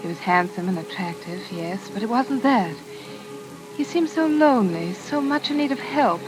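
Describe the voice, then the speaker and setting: A woman's voice: mid-range voice, expressive, moderate pace, over music, close to an everyday voice, poor audio. An actress playing an eager, trusting young British woman, chatty and earnest, in 1940s radio drama style. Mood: wistful narration. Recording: faintly hissy old radio broadcast.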